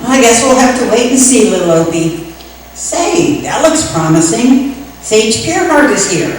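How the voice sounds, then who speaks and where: A woman's voice: on the high side, big pitch swings, unhurried. A woman playing a prim, patient frontier lady minding a child in a comic radio western. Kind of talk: comic old-time radio western acting. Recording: echoey hall from far off, faint noise.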